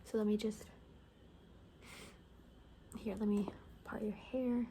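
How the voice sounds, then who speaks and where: A woman speaking softly in an ASMR roleplay as a gossipy survivor, rambling casually and wryly and often dropping into whispers. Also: medium pitch, level tone, slow pace, a little hiss or hum. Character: gossipy female bunker survivor in a zombie apocalypse. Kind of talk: soft-spoken ASMR, improvised gossipy storytelling.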